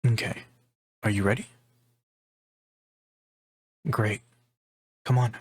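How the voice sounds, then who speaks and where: A man playing a gentle, affectionate partner in a soft-spoken ASMR roleplay, tender and intimate, pausing often. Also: lowish voice, steady tone, background noise. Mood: playful, affectionate. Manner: tender, unhurried, intimate, with pauses. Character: gentle, affectionate, teasing male partner offering comfort.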